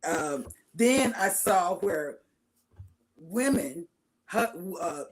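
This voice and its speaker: A woman guest speaking slowly and hesitantly over a video call while answering interview questions. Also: lowish voice, expressive, a little hiss or hum, poor audio.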